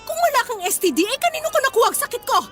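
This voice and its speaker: Wronged young mother, radio drama: high, animated, taunting, fierce. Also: very fast. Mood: furious, anguished. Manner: taunting, accusatory. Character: wronged young wife and mother, fiery, sarcastic, confrontational.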